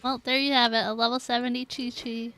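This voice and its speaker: A woman's voice: fairly high pitch, flat delivery, brisk, pushed and bright, smooth, soft background music. A woman chatting casually and playfully on a gaming livestream, giggly and given to exclamations. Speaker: game live streamer.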